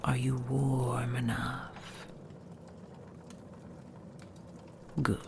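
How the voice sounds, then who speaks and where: A woman's voice: a bass voice, monotone, slow pace, background noise, full character voice. A woman playing an ancient, possessive and tender she-dragon in an intimate audio roleplay, whispering soothingly with formal, old-fashioned phrasing. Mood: hypnotic, doting. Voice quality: breathy, creaky whisper.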